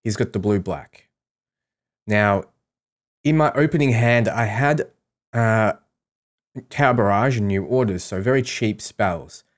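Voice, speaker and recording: A man's voice: lowish voice, a little slow, long pauses. A man giving casual, explanatory commentary on a game, talking through his strategy as a streamer.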